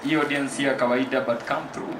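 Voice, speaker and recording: A man's voice: mid-range voice, fairly even intonation, brisk, roomy sound, noisy background. A Kenyan man hosting a poetry night and reciting rhyming spoken word, switching between Swahili slang and English. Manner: rhythmic rhyming delivery, mixing Swahili and English. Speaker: poetry event host and spoken word poet. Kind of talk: live stage hosting and spoken word in Sheng. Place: large hall with live audience.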